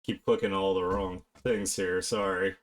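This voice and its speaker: A man chatting casually on an art live stream, talking haltingly and half to himself as he works. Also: low voice, steady tone. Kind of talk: casual live-stream chat while drawing.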